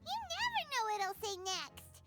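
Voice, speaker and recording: A woman voicing a tiny, childlike fairy companion in a game, squeaky, excitable and cheeky. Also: normal speed, over loud music, exaggerated voice. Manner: refers to self in third person, exclaims eagerly. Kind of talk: game cutscene voice acting.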